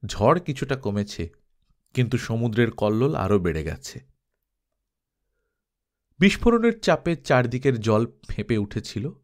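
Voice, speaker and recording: A man narrating an adventure story as an audiobook, in an expressive, suspenseful, dramatic style. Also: medium pitch, measured pace, pauses often.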